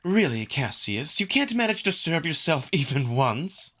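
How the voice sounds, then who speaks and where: Man putting on a high-pitched, chiding wolf woman. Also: sing-song, unhurried, fairly bright tone, heavily acted. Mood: sarcastic. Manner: teasing, scolding. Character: sharp-tongued, chiding alien wolf sister. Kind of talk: visual novel voice acting.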